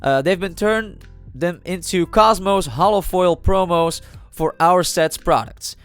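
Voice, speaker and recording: A man's voice: sits high, a little slow, clean tone, soft background music. A man with a cold and a slightly nasal voice, talking casually over a card video and thinking aloud with many fillers and restarts. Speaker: trading card channel host.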